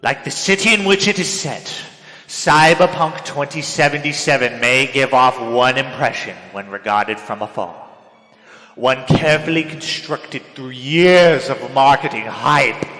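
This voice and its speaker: A young man delivers a theatrical dramatic reading of a written piece in a melodramatic manner, swinging between hushed and loud. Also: medium pitch, a little slow. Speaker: dramatic reader. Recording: echoey hall with long reverb, music behind. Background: music.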